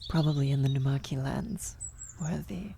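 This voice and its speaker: A woman acts out a worried female vampire in a medieval fantasy ASMR roleplay. Her low voice is soft and mellow, often slipping into a whisper. She speaks haltingly and gently, with faint birdsong and nature ambience behind her. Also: creaky, slight background noise. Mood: gentle, guiding. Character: worried female vampire blood sorcerer, tender, guilty lover. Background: birdsong, nature ambience effects.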